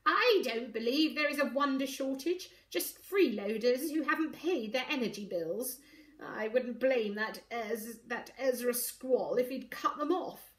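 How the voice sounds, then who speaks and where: A woman's voice: a little high, lively intonation, unhurried, echoey room, pitched above the performer's own voice. A woman voicing a savage, haughty old grandmother in a storybook, scornful and imperious.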